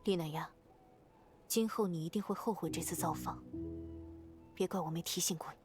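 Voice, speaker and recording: A woman's voice: lowish voice, fairly quick, over music, natural voice. Woman voicing a curt, aloof fey woman. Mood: curt, warning. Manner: curt, dismissive. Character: aloof, irritable winged fey woman, guarded and cryptic. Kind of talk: Chinese game voice acting.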